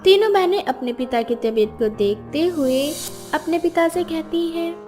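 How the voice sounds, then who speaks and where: A woman's voice: extremely high pitch, normal speed, a little mellow, faint music behind. A woman reading scripted story narration as the narrator.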